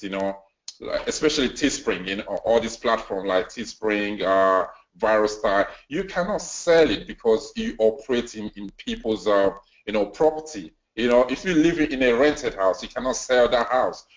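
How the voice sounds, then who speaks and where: A man co-presenting a webinar in casual promotional chat, often repeating himself and restarting phrases. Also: on the low side. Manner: repetitive, stumbling restarts. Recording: dull lo-fi audio.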